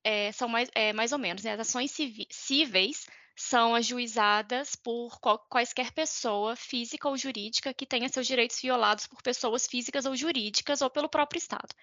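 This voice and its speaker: A woman's voice: fairly high pitch, normal speed, hardly any pauses, sharp tone, no room sound. A woman with a Brazilian Portuguese accent explaining law informally, hesitating and correcting herself as she goes. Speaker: podcast panelist explaining law. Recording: dull lo-fi, dry close sound.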